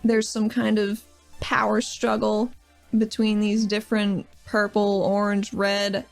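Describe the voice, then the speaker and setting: A woman's voice: medium pitch, completely flat delivery, slow pace, gravelly, faint music behind. A woman playing her character in a tabletop game, speaking in a casual, rambling way as she recaps events. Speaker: player.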